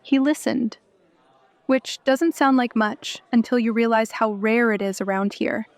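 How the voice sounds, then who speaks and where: An actress playing a grieving, candid young woman in an audio drama, speaking in a halting, earnest way. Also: a little high, no room sound. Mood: earnest grief.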